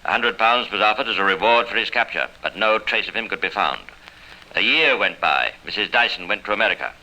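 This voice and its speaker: A man narrating a radio crime drama in a formal, dramatic, measured 1950s style. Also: lowish voice, lively intonation, sharp tone. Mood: grave, dramatic. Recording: faintly noisy old radio recording.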